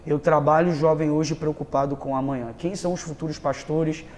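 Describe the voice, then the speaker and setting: A man's voice: on the high side, steady tone, fairly quick, darkish tone, a little hiss or hum. A man in his thirties with a Rio de Janeiro Brazilian accent, a pastor giving earnest personal testimony in a conversational interview, often checking in with tag questions and repeating words for emphasis. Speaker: pastor and youth leader, interview guest.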